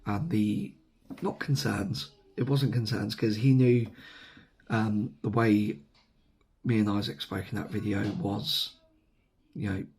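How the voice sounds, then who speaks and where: A man's voice: fairly low pitch, darkish tone. A man with an English accent, losing his voice with a sore throat, rambling casually to camera with lots of ums and self-corrections. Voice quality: hoarse. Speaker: vlogger. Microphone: camera mic, seated at camera.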